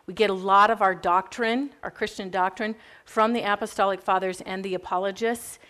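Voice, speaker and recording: A woman's voice: mid-range voice, steady tone, moderate pace, pushed and bright. A woman with a Minnesota accent teaching church history to a class in a chatty, informal way, full of fillers and asides. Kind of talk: classroom lecture. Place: classroom with a live group.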